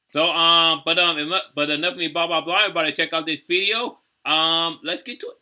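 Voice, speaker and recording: Bright-voiced man rambling through commentary. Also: a little high, brisk. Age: 30s. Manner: rambling, repeats "you know what I'm saying". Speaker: reaction video host. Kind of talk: casual reaction commentary.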